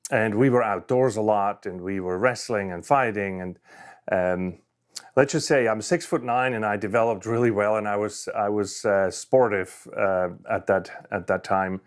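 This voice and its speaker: A man in his fifties from Switzerland, giving a conversational personal talk at a conference, with frequent fillers and restarts. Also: lowish voice. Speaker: conference speaker.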